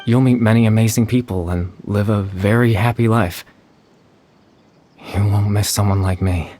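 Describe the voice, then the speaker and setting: Man playing a low-voiced, gentle young man. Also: steady tone, moderate pace, slight background noise, natural voice. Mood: tender, hesitant. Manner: thoughtful, hesitant, polite. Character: gentle, melancholy soft-spoken young man. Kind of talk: anime-style video game voice acting. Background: game music.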